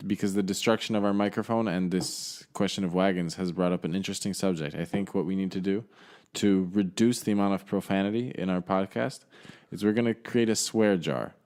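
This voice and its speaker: A man co-hosting a podcast, talking in a measured, explaining way and asking follow-up questions. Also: sits low, fairly even intonation, darkish tone. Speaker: podcast co-host. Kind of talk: casual chat.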